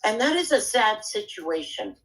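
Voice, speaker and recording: A woman speaking as a guest in a casual chat, earnest and halting, often repeating her words. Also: mid-range voice, sing-song, a bit bright, poor audio. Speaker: guest speaker on a live audio room.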